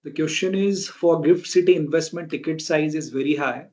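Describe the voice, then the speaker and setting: A man's voice: fairly high pitch, normal speed, warm tone. A man with an Indian accent moderating a conference call and reading questions aloud. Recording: dull, lo-fi conference call audio.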